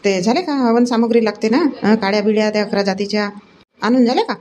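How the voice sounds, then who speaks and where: A woman acts out a middle-aged, nagging village wife for a cartoon comedy. She speaks rapidly in a slightly high voice, in homely rural Vidarbha Marathi with a sharp tongue. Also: slight background noise, lightly acted. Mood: brisk, covering up.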